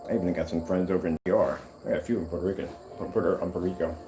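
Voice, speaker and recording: A middle-aged man chatting casually while working in a workshop, wandering off topic with animated bursts. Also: sits low, racing. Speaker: screen paint maker.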